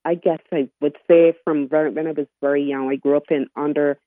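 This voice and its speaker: A woman in her sixties from Barbados, a guest talking in an interview, often halting and restarting her sentences. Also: deep voice, fairly even intonation, no echo. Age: 60s. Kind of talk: interview conversation. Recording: tinny phone line.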